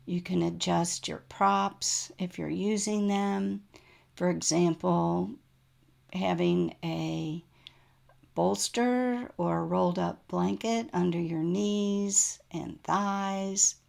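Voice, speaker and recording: Slow, creaky-voiced woman guiding relaxation. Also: lowish voice, steady tone. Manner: gentle, soothing, unhurried instruction with pauses. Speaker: yoga nidra meditation guide. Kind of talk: guided relaxation meditation.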